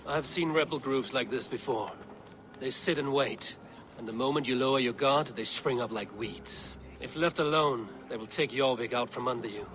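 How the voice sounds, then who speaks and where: An actor playing a resolute, grim and forthright Viking warrior in a dramatic video game. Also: male voice, mid-range voice, moderate pace, fairly bright tone, a little hiss or hum, lightly acted. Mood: firm, persuasive.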